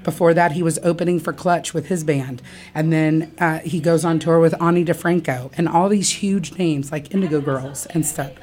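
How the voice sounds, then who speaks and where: A woman with a low voice talks as a guest musician. Her delivery is casual and unscripted, with an enthusiastic, informal way of putting things. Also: steady tone, normal speed, a little mellow. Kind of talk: casual spontaneous talk.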